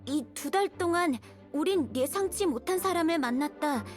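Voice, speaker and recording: A woman's voice: very high-pitched, expressive, normal speed. A woman voicing a young, timid boy surveyor in a game, polite, hesitant and earnest. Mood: earnest, formal reading. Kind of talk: scripted game dialogue, reading a letter aloud. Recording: music behind the voice. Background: music.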